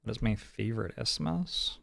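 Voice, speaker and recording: A man's voice: on the low side, lively intonation, normal speed, creaky, fairly bright tone, no echo. A man from New Zealand giving casual live gameplay commentary as a streamer, musing and chatting with viewers in a dry, rambling way. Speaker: video game streamer.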